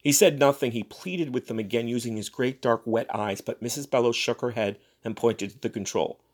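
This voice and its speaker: A man's voice: mid-range voice, brisk. Man giving a dramatic story reading. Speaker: story reader.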